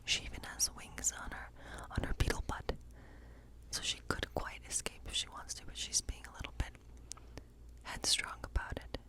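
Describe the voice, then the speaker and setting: A gentle cottage witch, played by a woman in an ASMR fantasy role play. She speaks entirely in a soft, breathy whisper. Her delivery is unhurried and musing, as if quietly reading tarot at night. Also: lightly acted, rough recording. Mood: gentle, playful.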